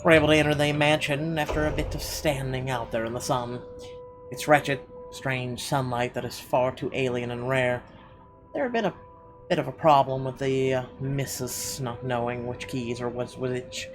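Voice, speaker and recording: Casting: male let's-play host, mid-pitched, irreverent, reads story text aloud with casual asides, prominent game music behind. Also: normal speed. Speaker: gaming video host. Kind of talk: reading game narration aloud with casual, profane reactions.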